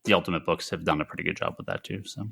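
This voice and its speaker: Bright-voiced man with a low pitch. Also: fairly even intonation, racing, gravelly, breathy edge. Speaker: podcast panelist and comic shop retailer. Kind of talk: casual comic book discussion.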